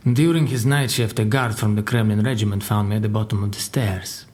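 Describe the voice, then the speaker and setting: Man playing guarded secretary to a crime boss, dry deliberate storyteller, audio drama. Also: fairly low pitch, steady tone, a little mellow, natural voice. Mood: grave, measured. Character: formidable Russian crime boss's secretary, guarded male survivor. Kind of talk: audio drama dialogue and narration.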